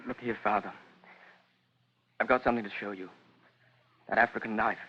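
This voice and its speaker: An actor playing an anxious, distraught son who speaks to his father in halting, repeated phrases in a vintage radio drama. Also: on the low side, fairly even intonation, muffled recording, a little hiss or hum, close to an everyday voice. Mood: nervous, fumbling. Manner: halting, repetitive, nervous stammer. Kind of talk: 1950s radio drama acting.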